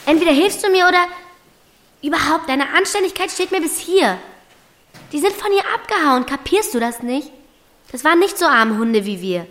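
A woman's voice: high-pitched, a bit bright. A woman playing a brash, defiant thirteen-year-old girl in a radio play, with a pushy, snappy, slangy way of talking. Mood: angry, bitter. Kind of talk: German radio play acting.

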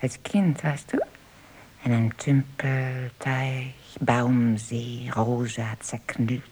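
A woman's voice: extremely low pitch, steady tone, slow, darkish tone, breathy edge, exaggerated voice, poor audio. A woman playing someone reminiscing in clipped fragments in an experimental radio play. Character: woman recalling childhood in playful fragments.

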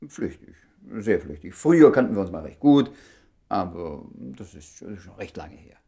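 An actor playing a concerned, familiar doctor friend in a German radio play, speaking in a dry, measured, understated way. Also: male voice, sits low, big pitch swings, dark tone, exaggerated voice. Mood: cautious, probing.

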